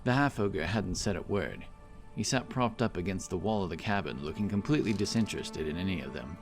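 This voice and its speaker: A man's voice: low-pitched, expressive, fairly quick. A man narrating a scripted fantasy story as an audiobook reader. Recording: clear music bed behind the voice. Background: background music.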